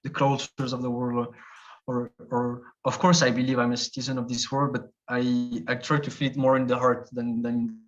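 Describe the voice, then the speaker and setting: A man's voice: mid-range voice, little pitch movement, normal speed. Hesitant Swiss man, dull audio. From Switzerland. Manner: thoughtful, hesitant, many fillers and restarts. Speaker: guest, travel video creator. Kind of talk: casual interview conversation.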